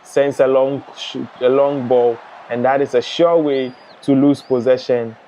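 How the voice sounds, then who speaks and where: A young man from Ghana hosting a live football watch-along, chatting and reading out viewer comments in a relaxed way. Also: mid-range voice, unhurried, slight background noise, poor audio. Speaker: live stream host.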